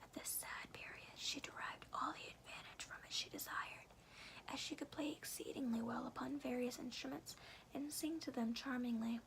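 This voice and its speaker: A woman softly and carefully whispering in a hushed, breathy ASMR style, as a storyteller reading to the listener. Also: high-pitched, steady tone, normal speed, rough recording. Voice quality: breathy whisper with some creak. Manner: soft, careful, hushed delivery.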